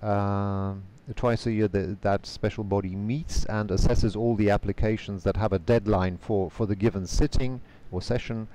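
Polish-native male interpreter guest, low voice, measured answers, video call. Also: fairly even intonation, mellow, slight background noise. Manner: measured, careful, frequent hesitation fillers.

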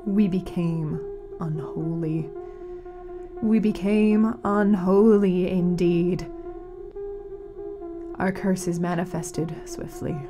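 A woman playing a grave, mournful radio announcer in a fiction podcast, speaking solemnly and dramatically with weighted pauses. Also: fairly low pitch, steady tone, over music. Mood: dark, intense. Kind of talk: scripted fiction-podcast narration as a community radio broadcast.

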